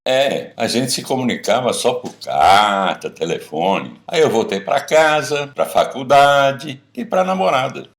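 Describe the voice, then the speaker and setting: A man's voice: mid-range voice, big pitch swings, measured pace, few pauses. A man with a Brazilian accent playing a middle-aged guy telling old confessions to drinking buddies in a casual radio drama. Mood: reminiscing. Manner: colloquial, storytelling. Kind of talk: radio drama acting, casual bar chat.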